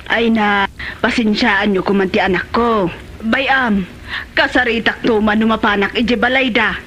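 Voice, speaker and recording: A woman's voice: fairly high pitch, steady tone, measured pace, fairly bright tone, dull audio, a little hiss or hum. A woman playing an exasperated, scolding middle-aged mother in a Filipino comedy drama, with an Ilocano accent. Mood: apologetic.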